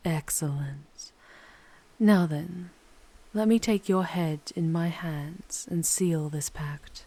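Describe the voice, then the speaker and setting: A woman voicing a seductive, proud, ancient female demon in an ASMR audio roleplay, speaking formally and deliberately with a teasing, commanding charm. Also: lowish voice, dark tone. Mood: bargaining, solemn.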